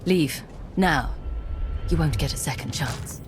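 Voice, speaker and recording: An actress playing a stern, decisive secret agent commander in a video game, curt and commanding. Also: female voice, sits low, slow, noisy background. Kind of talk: video game dialogue acting.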